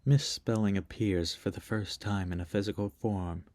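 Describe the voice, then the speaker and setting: Deep-voiced man narrating a review. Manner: measured, even storytelling delivery. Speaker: video essayist.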